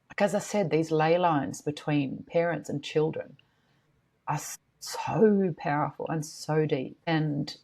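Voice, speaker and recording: A woman's voice: deep voice, a little mellow, slightly rough. A middle-aged woman speaking passionately and earnestly as a guest on a podcast interview, swearing casually now and then. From Australia. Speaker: guest, school co-founder.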